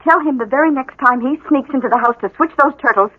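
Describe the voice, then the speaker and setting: An American actress playing a fiery, protective small-town schoolteacher wife in a radio drama, emphatic and scolding. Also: female voice, high voice, fast talker. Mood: coolly threatening. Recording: thin lo-fi old radio broadcast.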